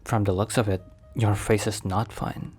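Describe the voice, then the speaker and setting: A man acts out an overprotective mafia boss in an ASMR boyfriend roleplay. His voice sits on the low side, with formal, careful phrasing and halting pauses as he attends to his partner. Music plays behind him. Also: flat delivery, darkish tone, breathy edge. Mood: concerned, gentle.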